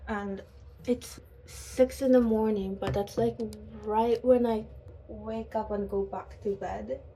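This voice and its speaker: A young woman vlogging casually at home, talking to camera with a hoarse morning voice and often dropping into soft whispers. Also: a little high, steady tone, takes it slowly, a little hiss or hum, low-quality recording. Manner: sleepy, drifts into soft whispers. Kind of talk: casual vlog chat to camera. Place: small home apartment indoors. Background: background music.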